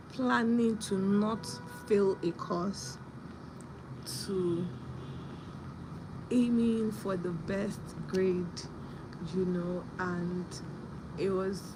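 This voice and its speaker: A young woman hosting a casual live stream, husky from a sore throat, telling a story and greeting viewers by name. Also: mid-range voice, background noise.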